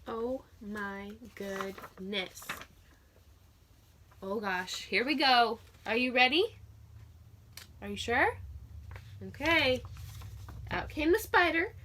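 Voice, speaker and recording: Very high-pitched, animated woman teaching children. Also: slow, pauses often, slight background noise. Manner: animated, expressive storytelling; simple, encouraging questions addressed to children. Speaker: teacher reading aloud to young children. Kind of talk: children's read-aloud lesson with questions to the listeners.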